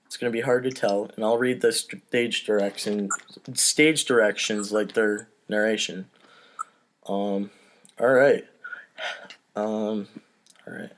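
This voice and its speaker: A man's voice: lowish voice, steady tone, slow pace, long pauses, fairly bright tone. A man hosting a podcast in casual, rambling, self-deprecating chat, with some swearing, recorded over an online voice call. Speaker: podcast host and narrator. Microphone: home mic on online voice call.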